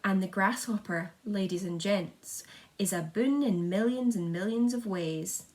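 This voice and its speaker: A woman's voice: medium pitch, a little slow, darkish tone, lightly acted. A woman voicing an eager, polite young boy who proudly presents friends in sing-song storybook rhyme. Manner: sing-song rhyming delivery, showman-like.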